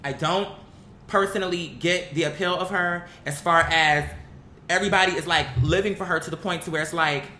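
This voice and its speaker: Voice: mid-range voice, steady tone, a little fast. An online vlogger giving casual, opinionated commentary in a blunt, emphatic way, slangy and full of profanity. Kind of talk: casual opinionated commentary, unscripted talk to viewers. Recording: echoey room, bright sound, faint background noise.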